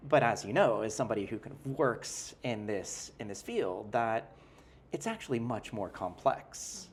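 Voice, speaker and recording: A man's voice: fairly low pitch, very animated, normal speed, slight background noise. A male professor, a guest in an interview, explaining ideas in a thoughtful, hedging way with frequent "right?" tags and restarts. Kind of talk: conversational interview.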